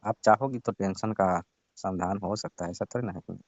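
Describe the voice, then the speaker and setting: A man's voice: low-pitched, a little fast, no room sound. A man playing a sly young friend of the family who coaxes and insinuates in a casual phone conversation. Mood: scheming. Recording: muffled lo-fi phone call recording.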